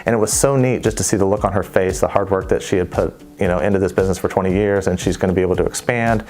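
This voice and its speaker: A man who works as a bank manager, explaining in a measured way with frequent "you know". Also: deep voice, steady tone, a little mellow. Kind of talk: interview testimonial. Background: faint background music.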